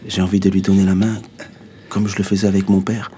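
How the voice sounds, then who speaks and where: An actor as a very deep, reflective narrator. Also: male voice, completely flat delivery, fast, warm tone, slight background noise, natural voice. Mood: resigned, tender. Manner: reflective, understated. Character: young male narrator, tender, reflective, resigned. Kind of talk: intimate first-person radio drama narration. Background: music.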